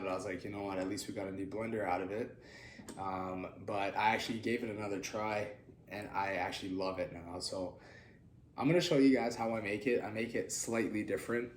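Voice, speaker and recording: A young man with a raspy, slightly nasal voice from being sick, talking quietly to the camera while cooking in his home kitchen. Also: on the low side, a little hiss or hum. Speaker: cooking vlog host. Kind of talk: casual cooking demo. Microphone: camera on the counter.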